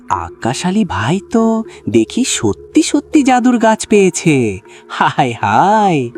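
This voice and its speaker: A man's voice: mid-range voice, a little mellow. An actor playing an envious, scheming village man in a cartoon, exclaiming and muttering to himself. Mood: dismayed, envious. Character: envious, scheming male villager plotting a theft. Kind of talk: animated cartoon story acting.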